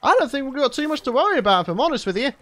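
Lively, upbeat gaming guy. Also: very high voice, very fast, a little hiss or hum. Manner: chatty, upbeat, laughs often, talks to viewers. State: tired voice from late-night recording. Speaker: gaming channel host. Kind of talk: let's play commentary.

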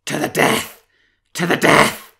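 A man voicing a huge furious grey wolf in a storybook reading, bellowing slow, gravelly threats. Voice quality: gravelly, shouting.

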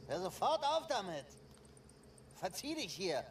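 An actor playing an angry, territorial man in a radio play, gruffly ordering someone away. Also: high voice, big pitch swings, a little slow. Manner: gruff, commanding. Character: angry, territorial man guarding his spot. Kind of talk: German radio play acting. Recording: distant mic, loud noise.

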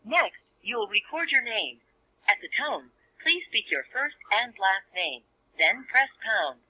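A woman's voice: on the high side, sing-song, slow pace, husky. A woman reading scripted automated voicemail prompts, heard through a telephone. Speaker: automated voicemail system announcer. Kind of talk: scripted phone menu prompts. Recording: dull lo-fi phone speaker playback.

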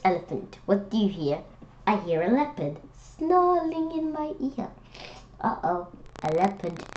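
A woman reading a picture book aloud for children in an expressive, playful way, sometimes halting on words. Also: mid-range voice. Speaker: children's read-aloud host. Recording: dull, lo-fi sound.

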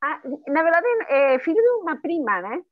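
A woman's voice: high voice, lively intonation, moderate pace, no room sound. Chatty woman on a tinny call line. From Uruguay. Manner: chatty, rambling, laughs at herself. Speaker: online class participant. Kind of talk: casual chat. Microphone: video call.

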